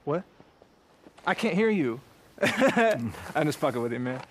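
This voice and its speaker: A man's voice: fairly high pitch, very animated, a little slow. An actor playing a cocky, slightly stoned young male guard in a video game, talking in loose slang. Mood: loose, joking. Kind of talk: video game cutscene acting.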